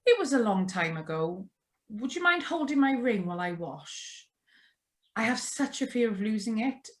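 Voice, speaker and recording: A woman's voice: fairly high pitch, very animated, moderate pace. A woman playing a chatty, nervous young newlywed in a radio drama read over a video call. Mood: light, chatty. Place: remote, on a Zoom video call. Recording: live video call reading.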